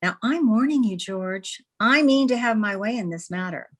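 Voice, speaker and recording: A woman's voice: mid-range voice, expressive, moderate pace, natural voice. A woman playing a headstrong, exasperated newspaper editor in an old-time radio comedy, emphatic and indignant.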